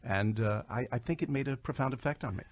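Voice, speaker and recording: An older man, a writer guest on a radio interview, speaking haltingly with many fillers. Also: mid-range voice.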